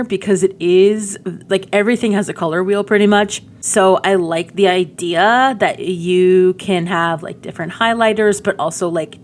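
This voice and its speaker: A woman's voice: medium pitch, slight background noise. A woman giving casual, chatty gameplay commentary as a video host, rambling informally with frequent asides. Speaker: gaming video creator and host.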